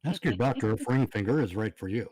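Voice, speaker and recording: A man's voice: on the low side, lively intonation, fast talker, a little mellow, no echo, low-quality recording. A man chatting casually on a livestream panel, tossing out joking quips. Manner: joking, quipping with pop culture references. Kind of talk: casual chat.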